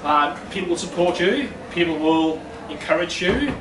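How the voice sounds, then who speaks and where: A man's voice: a little high, lively intonation, moderate pace, reverberant. Danish guy telling a story live, chatty, lots of ums, distant mic, room hiss. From Denmark. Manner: chatty, self-deprecating, many ums, gestures while talking. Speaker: storytelling event speaker. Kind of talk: live personal story talk. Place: small brick-walled event room, indoors. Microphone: far room mic.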